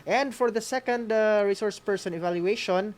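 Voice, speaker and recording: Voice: medium pitch, normal speed. Filipino radio co-host, hesitant and conversational. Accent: Filipino (Visayan). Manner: hesitant phrasing, frequent "no?" tags, mixes in Cebuano. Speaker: co-host of a school-on-the-air radio program.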